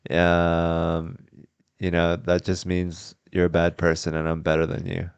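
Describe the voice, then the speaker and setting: A man hosting a podcast, improvising comedy scenes in a deadpan way and laughing often. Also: sits low, measured pace, smooth, no room sound, lo-fi sound. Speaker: podcast host. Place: house.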